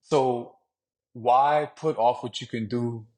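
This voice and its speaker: A man from Louisiana chatting as a podcast guest. His delivery is loose and conversational, full of "man" and "you know", and his voice sits at an ordinary middle pitch. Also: steady tone, slow, a little mellow. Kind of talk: casual interview talk.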